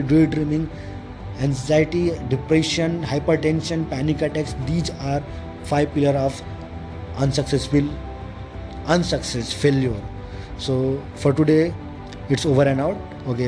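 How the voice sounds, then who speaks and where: Indian man, higher-pitched, over music. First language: Hindi. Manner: repetitive, emphatic listing of points; halting, non-fluent English. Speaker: podcast host. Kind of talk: informal motivational self-help monologue. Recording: music playing behind the voice. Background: clear background music.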